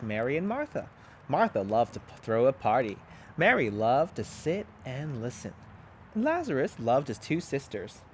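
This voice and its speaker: A man, a pastor, reading a children's storybook aloud with animated, expressive storytelling. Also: mid-range voice, a little hiss or hum.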